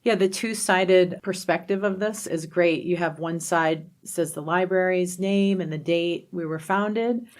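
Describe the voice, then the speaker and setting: A woman's voice: lowish voice, fairly even intonation, a little slow, hardly any pauses. A woman co-hosting a podcast in casual conversation, with an upbeat, appreciative way of talking. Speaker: podcast co-host.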